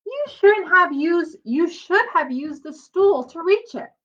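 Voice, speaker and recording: A woman voicing a hurried mother in a storybook, scolding her young children with sharp exclamations. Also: very high-pitched, expressive, dull audio, pitched above the performer's own voice, heavily acted. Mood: harried scolding.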